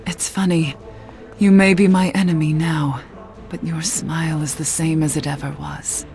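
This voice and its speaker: An actress playing a wistful, guarded witch in a video game, with a soft, measured, melancholy delivery. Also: deep voice, level tone, soft background music. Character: wistful, guarded witch haunted by lost love. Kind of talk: dramatic video game voice acting.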